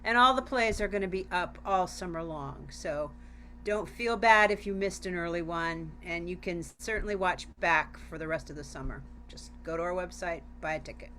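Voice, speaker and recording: Female theater staffer, bright and crisp-edged, making informal promotional remarks on a video call with slight background noise. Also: fairly low pitch, normal speed. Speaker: theater center staff member.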